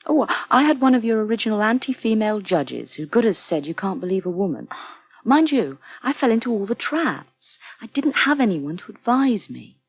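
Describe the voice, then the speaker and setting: An actress playing a firm, supportive crisis counsellor in a radio soap, earnest and plain-spoken. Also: female voice, mid-range voice, sing-song, normal speed, breathy edge. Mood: candid, bitter. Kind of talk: British radio soap acting.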